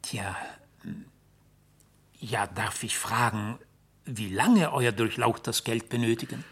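A proper, fussy banker with a husky voice of medium pitch. He talks in formal, deferential phrases, in the manner of a classic radio play. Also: takes it slowly, a bit bright, full character voice. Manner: formal, obsequious to his betters. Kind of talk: German radio drama acting.

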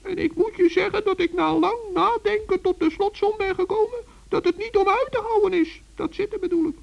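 A man voicing a small old gnome, gentle, shy and dithering, in a children's radio play. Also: extremely high pitch, fairly quick, vocal fry, pitched above the performer's own voice. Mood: pitying, earnest. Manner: dithering, repeats himself, talks in diminutives. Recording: dull old radio recording.

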